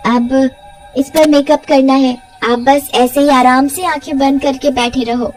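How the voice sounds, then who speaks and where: Woman doing a sneaky witch acting like a polite beauty parlour girl, high pitched, light music. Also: fairly even intonation, measured pace, darkish tone. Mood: slyly reassuring. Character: sly witch posing as polite female beautician.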